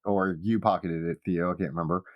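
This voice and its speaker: A man narrating a tabletop game as game master, with halting, trailing phrases. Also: low voice, fairly even intonation, dry recording, dull audio. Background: soft guitar music.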